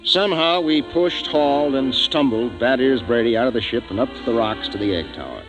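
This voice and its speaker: Man acting a commanding, animated spaceship commander. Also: medium pitch, loud music behind the voice, natural voice. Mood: grave narration. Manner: brisk, authoritative, urgent. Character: resolute, commanding male spaceship expedition commander. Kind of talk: 1950s science fiction radio acting. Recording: noisy vintage 1950s radio recording.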